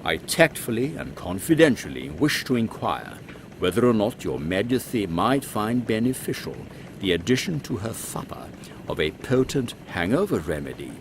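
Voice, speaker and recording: A man playing a genial, wry captain in an audio drama, expressive and savouring his words. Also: on the low side, normal speed. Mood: amused. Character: genial, wry captain in a queen's secret service. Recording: loud vehicle-like ambient noise.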